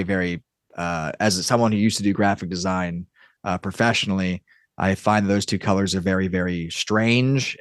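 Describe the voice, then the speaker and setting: A man talking casually as an interview guest, rambling and halting as he thinks aloud. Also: fairly low pitch, dry recording, studio quality. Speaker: podcast guest, audio drama creator.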